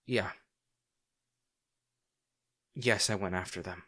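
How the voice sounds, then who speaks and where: A man playing a devoted, remorseful bodyguard to a noble lady in a fantasy audio drama, speaking in a formal, halting, earnest way. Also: sits low, sharp tone, exaggerated voice. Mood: impassioned, protective.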